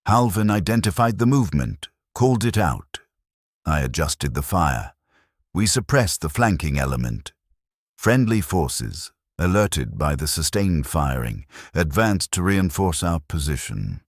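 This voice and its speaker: A man narrating a scripted first-person war story in short, clipped sentences with a grave, measured delivery. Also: sits low, sing-song, dry recording, clean studio sound.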